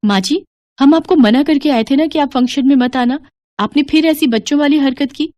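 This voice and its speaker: A woman's voice: high-pitched, normal speed, darkish tone, no echo, pitched above the performer's own voice, lightly acted. A woman voicing a haughty, superstitious young pregnant daughter-in-law in a family story, insistent and emphatic. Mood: scolding.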